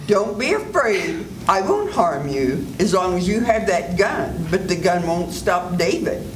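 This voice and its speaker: An older woman playing a scheming doctor who stays calm and smoothly persuasive in an old-time radio drama performed live. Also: lowish voice, measured pace. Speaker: senior theater performer. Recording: roomy hall with audience noise.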